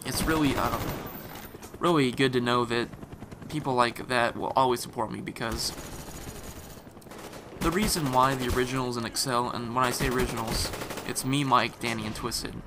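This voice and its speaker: A man's voice: medium pitch, fairly even intonation, normal speed. A man with a scratchy, irritated throat giving casual, rambling voice-over commentary on a game, with lots of fillers and restarts. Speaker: gaming commentator. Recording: voice-over mixed over loud game gunfire. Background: game gunfire and explosions.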